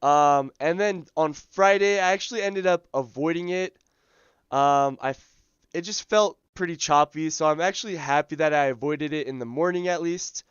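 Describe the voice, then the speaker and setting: A man hosting a live day-trading stream, his voice scratchy as he loses it, talking through charts in a relaxed way full of ums and uhs. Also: a little high, normal speed, clean tone, no room sound, lo-fi sound. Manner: relaxed, filler-heavy, thinking aloud. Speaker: day trading livestream host. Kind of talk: live market commentary.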